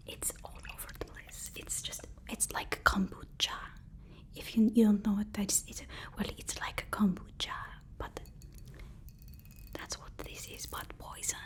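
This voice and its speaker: A woman's voice: medium pitch, lightly acted. A woman playing a devoted, nervous supervillain's personal assistant in an ASMR roleplay, whispering breathily with a deferential, hesitant, soothing manner. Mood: fawning.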